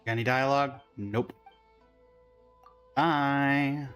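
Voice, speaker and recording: A man's voice: mid-range voice, fairly even intonation, slow, a bit bright, smooth, faint music behind. A man streaming a game with casual, musing commentary, thinking aloud as he plays.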